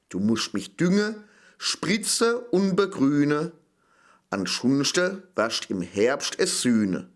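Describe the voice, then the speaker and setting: Male dialect poetry reader, Palatinate accent, slightly high voice, very unhurried sing-song phrasing, speaking as a small grapevine. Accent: Palatinate German. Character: little grapevine, proud, affectionate, a bit fearful. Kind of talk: slow recitation of a dialect poem.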